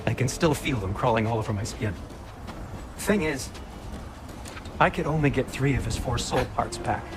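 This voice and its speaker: A man playing a nervous, fussy, neurotic dwarf blacksmith in a video game, very expressive. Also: medium pitch, moderate pace, background noise, close to an everyday voice. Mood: haunted, confessional. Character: fretful, squeamish dwarf blacksmith, loyal and neurotic. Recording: music under the voice.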